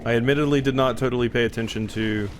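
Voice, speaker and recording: A man's voice: medium pitch, fairly even intonation, background noise. A man streaming a game in casual, rambling commentary, a little under the weather with a slight cough. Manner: chatty, rambling, quick reactions.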